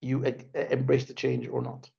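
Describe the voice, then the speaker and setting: A man speaking over a webinar call, his mid-pitched voice carrying a gravelly edge. He talks in a loose, conversational way, often checking in with "right?" and circling back over his points. The audio sounds a little dull, as webinar sound often does. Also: monotone, normal speed, dark tone. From Denmark. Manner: conversational, frequent "right?" tags and restarts. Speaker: guest speaker, tech executive. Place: remote video call. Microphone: video call microphone.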